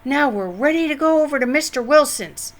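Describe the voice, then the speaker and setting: A woman voicing a cheerful, eager young mischievous boy in a children's storybook, with an excited way of talking. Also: high voice, brisk, voice pushed up.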